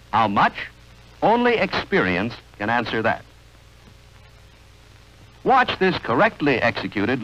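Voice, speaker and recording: Muffled old film soundtrack, man, mid-pitched, animated intonation, measured instructional narration, 1940s style. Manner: measured, instructional, clearly enunciated. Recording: dull, band-limited vintage film soundtrack.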